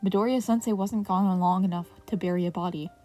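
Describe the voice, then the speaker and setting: A woman's voice: lowish voice, steady tone, brisk. A woman reading scripted fiction aloud as a podfic narrator. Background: soft piano music.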